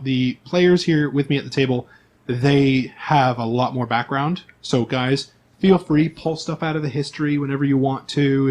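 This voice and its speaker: A man speaking as game master, explaining the game's setting to his players in an informal, conversational way. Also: medium pitch.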